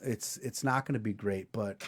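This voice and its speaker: A man in his forties hosting a casual comedic podcast chat, telling stories animatedly and doing quick voices. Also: fairly low pitch, breathy edge. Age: 40s. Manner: animated storyteller, puts on voices. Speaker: podcast co-host.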